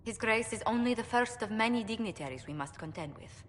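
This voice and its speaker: An actress as a poised courtly diplomat in echoing hall. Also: female voice, on the high side, lively intonation, slight background noise, close to an everyday voice. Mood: composed. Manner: formal, diplomatic, measured. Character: poised, courtly woman ambassador, tactful and shrewd. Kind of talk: video game cutscene acting.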